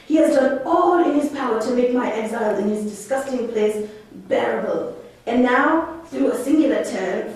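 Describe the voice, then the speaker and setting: A woman acts out a proud, commanding magician duke for a puppet stage play. The delivery is declamatory and imperious, in a higher-than-average voice. It sounds far from the microphone in a very echoey room. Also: a little mellow, close to an everyday voice.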